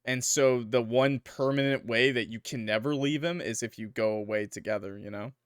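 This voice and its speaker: A young man giving casual, rambling let's-play commentary as a gaming YouTuber, chatty and quick to laugh, his voice a little worn. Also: mid-range voice, clean tone, no echo, clean studio sound. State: losing his voice.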